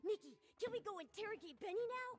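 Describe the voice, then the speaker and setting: A woman voicing a small, excitable and eager companion character in a video game, with music behind. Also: very high voice, fast.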